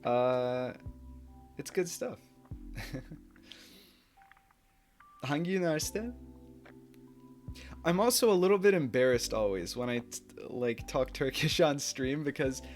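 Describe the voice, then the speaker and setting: A man's voice: medium pitch, animated, slow, pauses often, darkish tone, soft background music. Laid-back young man streaming. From United States. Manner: laid-back, chatty, laughs often, switches into Turkish. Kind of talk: casual chat with chat readers.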